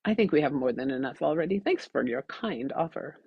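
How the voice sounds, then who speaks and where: Woman acting a breezy big man, animated. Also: low voice, fairly quick, gravelly, slightly rough, dry recording, highs cut off, full character voice. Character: big cheerful man, breezily unconcerned and sly.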